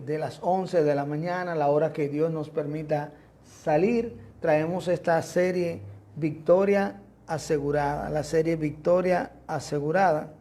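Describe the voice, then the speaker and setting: A man's voice: fairly high pitch, a little mellow. A man, a pastor with a Dominican accent, preaching a sermon in an emphatic, repetitive cadence. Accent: Dominican Spanish. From Dominican Republic.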